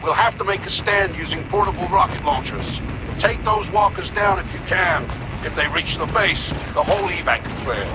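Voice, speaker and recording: A man's voice: sits high, normal speed, heavy background noise, close to an everyday voice. A man playing a determined, weary rebel major in a video game, giving urgent, clipped military briefings. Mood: urgent, resolute. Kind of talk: scripted game mission briefing.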